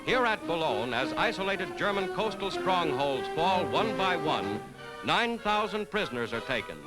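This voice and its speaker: A man's voice: sits high, big pitch swings, moderate pace, over loud music, rough recording. A man delivering scripted 1940s wartime newsreel narration as an urgent, dramatic announcer. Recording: vintage 1940s newsreel soundtrack.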